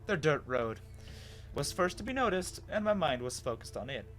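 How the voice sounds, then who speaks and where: A man plays a lost man with no memory, anxious and bewildered. He tells his own story as a dramatic reading, with lively swings of pitch and expressive pauses. Soft music runs underneath. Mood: wondering. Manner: dramatic, expressive first-person telling with pauses. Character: confused lost amnesiac man recounting his wandering, anxious. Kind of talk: dramatic reading of fan fiction.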